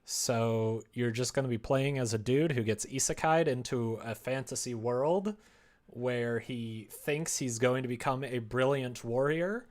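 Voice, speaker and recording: A man's voice: mid-range voice, measured pace, darkish tone. A man livestreaming his development work, thinking aloud casually and muttering to himself in short fragments. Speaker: livestreamer developing a visual novel.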